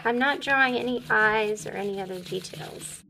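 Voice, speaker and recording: A woman's voice: fairly high pitch, normal speed, creaky, pushed and bright, slight background noise, poor audio. A woman, an elementary art teacher, demonstrating a craft step by step in a simple, upbeat way for young children.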